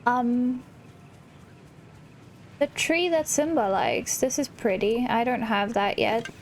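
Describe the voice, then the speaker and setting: A woman with a fairly high voice talks through a video game in a casual, slow-paced way, sometimes murmuring to herself. There is faint noise behind her. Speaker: gaming channel host. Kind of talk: casual let's play commentary, reading game dialogue aloud.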